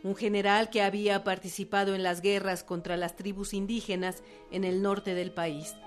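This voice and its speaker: A woman's voice: medium pitch, level tone, moderate pace. A woman narrating a scripted historical documentary in a formal, articulate manner. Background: music.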